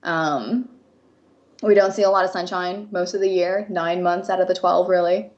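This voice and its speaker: A woman's voice: on the low side, steady tone, moderate pace, highs cut off. A woman in her twenties with an American accent, who grew up in Japan, chatting as a guest artist in a friendly way, laughing easily and often saying um. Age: 20s. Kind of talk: casual interview chat.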